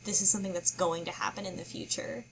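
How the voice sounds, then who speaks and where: A woman chatting casually as a podcast co-host, thinking aloud in halting, puzzled phrases. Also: lowish voice, steady tone, slight background noise, low-quality recording. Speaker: book club podcast co-host. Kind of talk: casual chat, speculating about a story.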